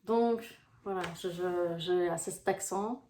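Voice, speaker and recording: A woman's voice: lowish voice, takes it slowly, dark tone, slight background noise. A woman from Brussels with a Brussels Belgian accent, a life coach chatting casually to camera in a rambling way. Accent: Brussels Belgian French. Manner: chatty, rambling, full of fillers. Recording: echoey room.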